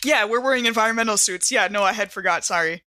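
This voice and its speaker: A woman playing a tabletop role-playing game with casual, excitable, slangy banter. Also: medium pitch, quick pace.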